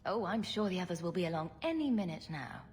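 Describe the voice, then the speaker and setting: A woman's voice: fairly low pitch, very animated, quick pace. An actress playing a sarcastic, witty female rogue adventurer in a video game, with a wry, flippant manner.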